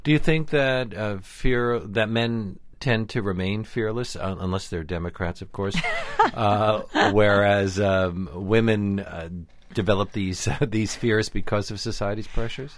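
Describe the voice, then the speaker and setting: A man's voice: lowish voice, a little slow, no echo. A middle-aged man hosting a radio interview, polished and conversational. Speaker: radio host.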